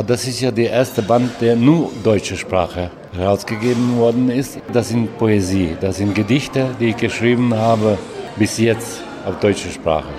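An older man from Vojvodina with a Hungarian accent, answering an interview in halting non-native phrasing with fillers. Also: on the low side. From Vojvodina, Serbia. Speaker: author.

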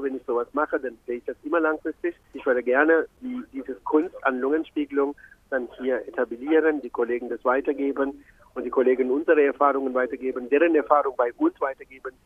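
A man's voice: medium pitch, husky. A man from Sri Lanka, a visiting doctor, giving an earnest, rambling interview answer with many restarts. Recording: tinny phone-like line.

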